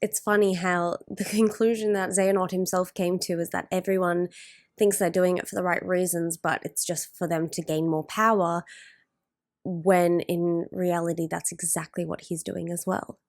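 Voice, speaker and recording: A woman hosting a reaction video with casual, off-the-cuff commentary, halting as she thinks aloud. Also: lowish voice, level tone, no echo, clean studio sound.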